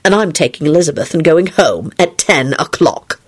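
A woman's voice: deep voice, expressive, normal speed, breathy edge. A woman voicing a short-tempered, sardonic male werewolf wizard in a narrated story, curt and snarling. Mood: dark, grim.

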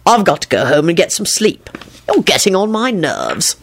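A cheeky, unrepentant prankster of a man, read by a woman storyteller. He is flippant and careless, easily amused, with a lively swing in his delivery. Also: on the low side, moderate pace, breathy edge, full character voice. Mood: bored, insolent. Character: carefree, insolent male prankster, amused and unrepentant. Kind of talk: dramatic storytelling of fan fiction.

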